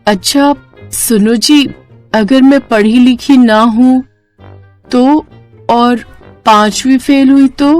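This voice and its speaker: A woman's voice: fairly high pitch, normal speed, a little mellow, soft background music, lightly acted. A woman voicing a timid, naive, unschooled young wife who talks hesitantly and pleadingly in a cartoon story. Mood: nervous, naive.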